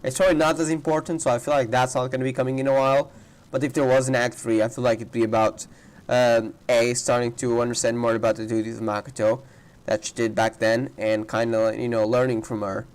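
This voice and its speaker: A man's voice: medium pitch, level tone, fast talker, darkish tone, poor audio. A man giving casual reaction commentary on a game playthrough, thinking aloud in rambling asides. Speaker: gamer reacting to a story playthrough.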